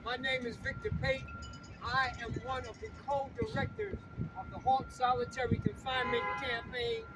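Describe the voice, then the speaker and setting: An older man speaking at an outdoor protest rally, emphatic and repeating his lines for the crowd to echo. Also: very high voice. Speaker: rally speaker and campaign co-director. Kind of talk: impassioned protest rally speech. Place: outdoors on a city street. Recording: distant mic with loud street traffic.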